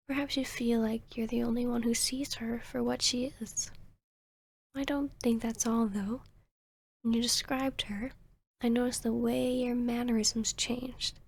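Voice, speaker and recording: Casting: woman playing a soothing vampire therapist for ASMR roleplay, gentle and measured, breathy with a creaky edge. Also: a little high, exaggerated voice. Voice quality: breathy, soft. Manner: gentle, measured, professional, often near-whispering. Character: female vampire posing as a calm, soothing therapist. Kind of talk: ASMR roleplay, soft-spoken to the listener.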